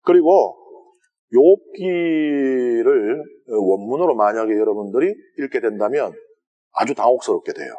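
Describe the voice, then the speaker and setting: A man with a Gyeongsang Korean accent lectures on scripture as a priest in a church sanctuary. His pitch sits mid-range for a man. He talks in a chatty, conversational way and keeps turning questions to the listeners. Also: animated, a little slow, mellow, lo-fi sound. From South Korea. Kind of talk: lenten Bible lecture to a congregation.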